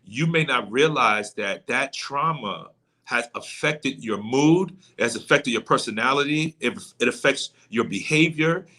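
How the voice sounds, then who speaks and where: A man's voice: mid-range voice, expressive, unhurried, pushed and bright. A man speaking as a pastor guest in an interview, teaching conversationally and repeating key points as he explains. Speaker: guest, pastor and trauma coach.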